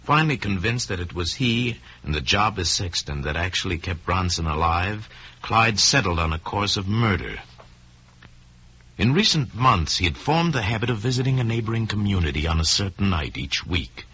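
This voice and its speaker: A man narrating a crime story in old-time radio style, deliberate and suspenseful, with dramatic pauses. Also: low-pitched, big pitch swings, sharp tone, slightly rough. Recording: dull, lo-fi old radio recording.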